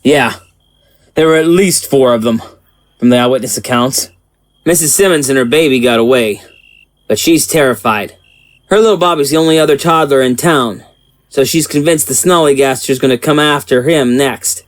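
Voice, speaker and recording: Man acting as small town sheriff, folksy n earnest, mid-pitched voice, audio drama. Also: moderate pace, no echo, natural voice. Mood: grave, earnest. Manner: folksy, plain-spoken.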